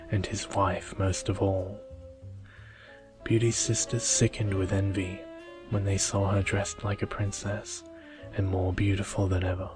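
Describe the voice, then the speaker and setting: A soft-spoken man slowly reading a bedtime story in a soothing ASMR style. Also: low voice. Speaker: storyteller, video creator. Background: music.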